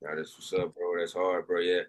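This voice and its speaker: A man's voice: low voice, flat delivery, normal speed. A young man leading a casual video call, talking in laid-back slang with short affirmations. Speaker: session host.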